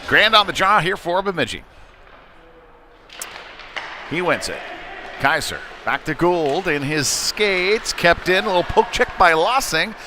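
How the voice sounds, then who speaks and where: Man calling hockey over clear background noise. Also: high-pitched, expressive, a little slow, pauses often, sharp tone. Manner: clipped present-tense phrases, conversational asides. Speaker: play-by-play hockey commentator. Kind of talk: live youth hockey play-by-play commentary. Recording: noisy rink ambience behind live commentary. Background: sports venue noise.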